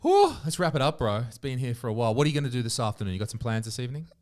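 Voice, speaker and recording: A man's voice: medium pitch, big pitch swings, very fast. A man hosting a podcast in casual chat, teasing his guest and swearing casually. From foreigner living in Estonia. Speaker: podcast host.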